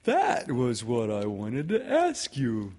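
A sweet-natured, earnest toy bear from a storybook, acted out by a man. He speaks slowly and simply, with a raised pitch that rises and falls a great deal. He is polite and naive in every phrase. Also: huge pitch swings, a little mellow, heavily acted. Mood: earnest, hesitant. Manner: slow, earnest, simple-minded delivery. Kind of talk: storybook character voice in a read-aloud.

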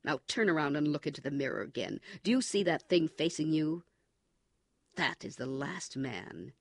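A man voicing a cold, menacing male party interrogator in an audiobook, speaking forcefully and with contempt. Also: on the high side, sing-song, moderate pace, gravelly, no room sound, clean studio sound, exaggerated voice. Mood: contemptuous. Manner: forceful, contemptuous, coldly commanding. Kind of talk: audiobook character reading.

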